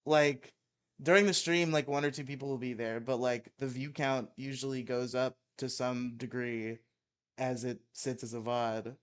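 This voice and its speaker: A man's voice: mid-range voice, steady tone, moderate pace, clear, resonant tone. A man hosting a live stream podcast in casual, joking interview chat with informal banter.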